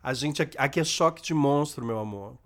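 A man's voice: mid-range voice, fairly quick. A Brazilian man co-hosting a podcast, chatting in a playful, camp way and laughing often. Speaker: podcast co-host. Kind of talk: campy casual chat.

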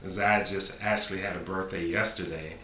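Man in his forties, very deep bright voice, podcast host, echoey room, faint hiss. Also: fairly even intonation, a little fast. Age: 40s. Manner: repetitive, affirming, talkative. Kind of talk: casual interview. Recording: roomy sound with faint noise.

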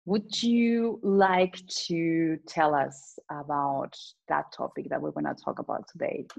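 A woman from Spain with a Spanish accent, a transformational coach co-hosting a casual conversation, reflective and quick to laugh. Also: deep voice, lively intonation, vocal fry. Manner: reflective, laughs often, restarts sentences.

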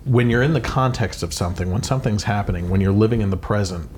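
A man hosting a faith podcast, telling his personal story in an earnest, casual way with quick bursts and restarts. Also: lowish voice, darkish tone, slight background noise. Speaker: podcast host.

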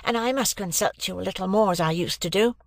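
Man playing an earnest restless young guy, a bit higher than his normal voice, dry sound. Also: fast, vocal fry, dry recording. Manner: earnest and impatient.

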